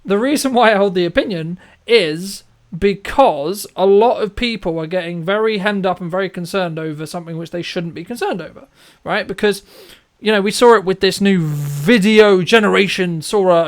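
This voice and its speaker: A man's voice: high-pitched, normal speed, few pauses. A man hosting an interview, speaking his opinions emphatically and repeating phrases to drive points home. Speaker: podcast host and filmmaker.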